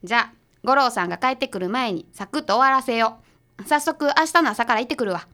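A woman's voice: on the high side, quick pace, sharp tone. A woman playing a blunt, short-tempered female detective's assistant in a radio drama, with a Kansai accent. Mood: decisive. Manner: blunt, bossy.

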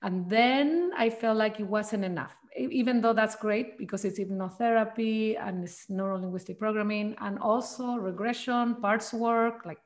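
A woman in a middle-pitched voice. She answers questions thoughtfully, often stopping and restarting as she looks for the right words. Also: normal speed, hardly any pauses. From Chile. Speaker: guest, trauma practitioner. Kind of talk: casual interview answers.

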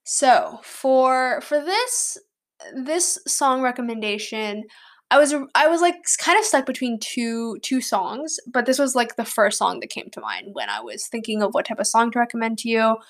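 Give me a young woman with a slightly high voice, casually rambling through a solo podcast. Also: expressive, moderate pace. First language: English. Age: young adult, student. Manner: rambling with many likes and ums. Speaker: podcast host.

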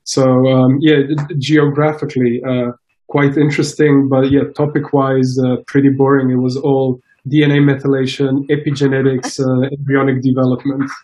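Soft-toned man, halting and self-correcting. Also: medium pitch, little pitch movement, poor audio. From Croatia. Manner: hesitant, many restarts and fillers. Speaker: scientist guest. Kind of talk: casual interview.

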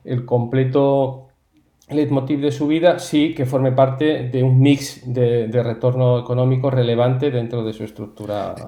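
Mellow man in an echoey room. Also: mid-range voice, steady tone, unhurried. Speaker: interview guest, company founder. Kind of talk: explanatory interview talk. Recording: roomy, echoey sound.

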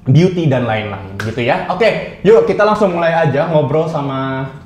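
A man with an Indonesian accent hosting a casual interview, chatty and quick to laugh. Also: on the high side, lively intonation, darkish tone, roomy sound, slight background noise. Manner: chatty, lots of fillers, laughs often. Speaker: interview host, company CEO.

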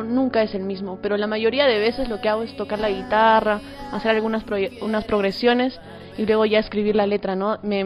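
A woman with a Peruvian accent speaking as an interview guest, a singer-songwriter talking casually. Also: mid-range voice. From Peru.

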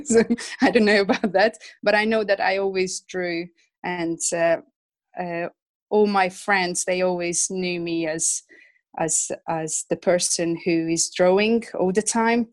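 A woman in her thirties from Hungary, a Hungarian speaker talking in English, is a guest illustrator chatting in an interview, with many fillers and soft laughs. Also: on the low side, fairly even intonation, unhurried, no room sound. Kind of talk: casual interview chat.

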